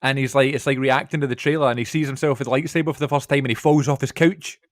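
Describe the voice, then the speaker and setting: A man's voice: mid-range voice, steady tone, fast, dry recording. A young man co-hosting a podcast, chatting in casual, informal banter about things he is a fan of. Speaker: podcast co-host.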